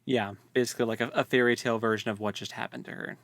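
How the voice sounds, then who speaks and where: A man's voice: medium pitch, steady tone, rapid-fire, fairly bright tone, dry recording. Casual man with a close, dry sound. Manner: informal, rambling, conversational. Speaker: podcast co-host. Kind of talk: casual book discussion.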